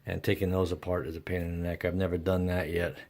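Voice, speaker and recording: A man with a low, deep voice gives unscripted repair commentary. His intonation stays very flat. He speaks haltingly, working through his thoughts aloud in an informal, salty way. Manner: halting, thinking aloud, mildly profane. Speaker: host of a radio repair video.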